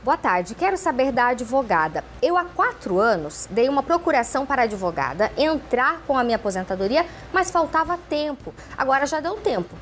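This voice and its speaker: Woman tv host reading out viewer questions, high lively voice, faint hum behind. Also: brisk, few pauses. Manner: reads messages in first person, plain and steady.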